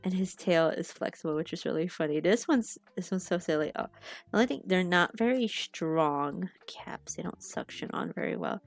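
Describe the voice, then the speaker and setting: Sniffly, gravelly-voiced young woman rambling. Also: fairly low pitch, animated, normal speed, no echo, faint music behind. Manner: chatty, rambling, loses her train of thought with many fillers. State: sniffly from allergies. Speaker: art streamer. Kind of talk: casual rambling livestream chat. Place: home. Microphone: headset mic.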